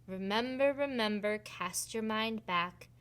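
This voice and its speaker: A woman voicing a kind but firm head fairy in a picture book, deliberate and gently scolding. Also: mid-range voice, lightly acted. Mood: gently chiding. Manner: deliberate, repetitive, chiding.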